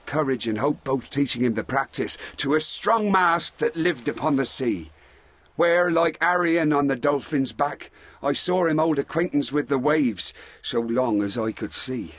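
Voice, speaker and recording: A shipwrecked sea captain in shakespeare, steady and respectful, bit of a husky voice, very dry recording. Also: male voice, mid-range voice, a little slow, no room sound. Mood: earnest, reassuring. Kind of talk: Shakespearean audio drama.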